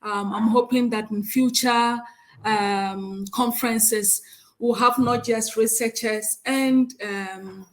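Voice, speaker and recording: A woman with a Ghanaian accent chairs a conference session and reads out a speaker's biography, with frequent hesitant pauses. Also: fairly high pitch, steady tone. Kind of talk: conference moderation, reading a speaker bio.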